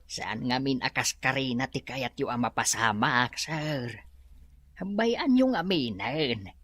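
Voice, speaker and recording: A woman as a slow, hesitant, husky underling. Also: very deep voice, lively intonation, a bit bright, no room sound, exaggerated voice. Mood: worried. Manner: hesitant, deferential, pleading. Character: uneasy, conscientious underling pleading with a villainous boss. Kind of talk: audio drama acting.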